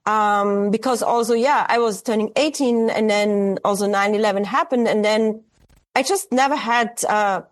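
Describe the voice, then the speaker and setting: A woman's voice: medium pitch, moderate pace. A woman in her forties from Austria with an Austrian German accent, a German native speaker, reflecting thoughtfully as an artist guest, hesitant with many fillers and restarts. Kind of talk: reflective interview conversation.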